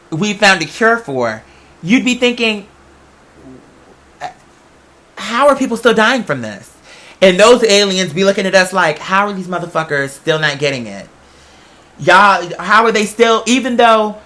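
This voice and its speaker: High-voiced American man rambling casually. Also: sharp tone. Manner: rambling, conversational, freely swearing. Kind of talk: casual unscripted monologue.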